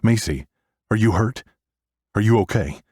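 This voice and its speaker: A man's voice: fairly low pitch, expressive, measured pace, darkish tone, slightly rough, no room sound, clean studio sound, pitched above the performer's own voice. A man voicing a scared thirteen-year-old boy, urgent and fiercely protective of his sister, in an audiobook. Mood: confused, worried. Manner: urgent, emotional pleading.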